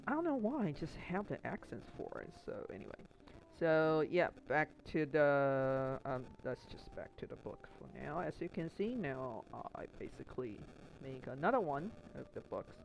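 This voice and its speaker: A man's voice: on the high side, big pitch swings, normal speed, gravelly, a little hiss or hum, poor audio. A man from Taiwan with a mixed Australian and Canadian accent, chatting casually on a stream while crafting, rambling with many restarts and fillers. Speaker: Twitch streamer.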